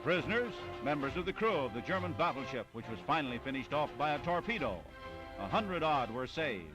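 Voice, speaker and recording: A man delivers 1940s newsreel narration in a fairly high voice. His phrasing is clipped and declamatory, set over prominent music on a vintage soundtrack. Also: sing-song, brisk, rough recording.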